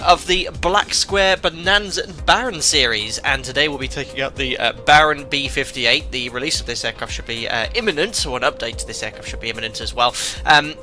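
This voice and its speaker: A man streaming live as a flight simulator host, chatting and reading out chat messages, congested from a cold with a croaky voice and many filler ums and uhs. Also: medium pitch, brisk, hardly any pauses, bright, forceful tone, faint music behind. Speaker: streamer and airline pilot.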